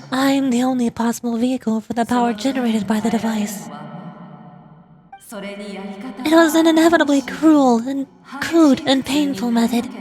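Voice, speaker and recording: Video game spirit lord female actor: ancient, solemn, high-pitched, formal, measured delivery. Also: close to an everyday voice. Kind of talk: scripted game dialogue.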